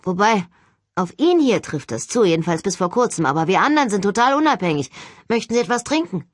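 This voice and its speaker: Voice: mid-range voice, very animated, brisk, no room sound, studio quality, pitched above the performer's own voice. An audiobook reader voicing a cocky, unflappable young male agent with a breezy, mock-polite manner. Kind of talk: audiobook character acting.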